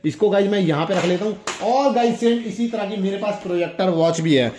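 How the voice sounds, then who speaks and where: A man's voice: high voice, brisk, darkish tone, slight background noise. A young man hosting a casual toy review, demonstrating things enthusiastically and often repeating himself. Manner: enthusiastic, repetitive, exclaiming. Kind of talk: casual product demonstration to viewers.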